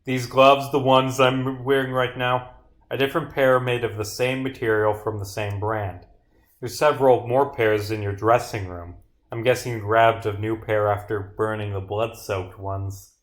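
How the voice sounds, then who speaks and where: A man's voice: fairly low pitch, fairly even intonation, moderate pace, close to an everyday voice. A man voicing a dogged detective in a visual novel, pressing a suspect in a matter-of-fact, accusing way. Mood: firm, accusing.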